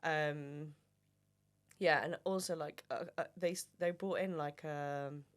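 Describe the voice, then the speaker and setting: A woman's voice: deep voice, steady tone, slow pace. A woman, a guest on a casual podcast chat, explaining at length in a thoughtful way with many fillers. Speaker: guest, family member.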